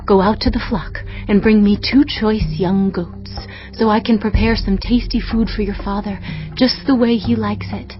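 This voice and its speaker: A woman's voice: mid-range voice, steady tone, normal speed, lightly acted. Woman playing an urgent, scheming biblical mother. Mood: conspiratorial. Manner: urgent, conspiratorial. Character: scheming, insistent mother of a grown son. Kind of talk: dramatized audio scripture acting. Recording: dull muffled audio with music.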